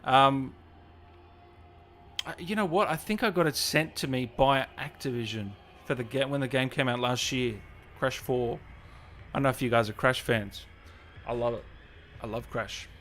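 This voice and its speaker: Guy hosting a gaming stream, mid pitched voice, chatty and informal, says stuff twice. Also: moderate pace, pauses often, fairly bright tone, a little hiss or hum. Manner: chatty, informal, repeats phrases. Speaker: livestream host, gaming interviewer. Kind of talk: casual livestream chat, reading and answering comments.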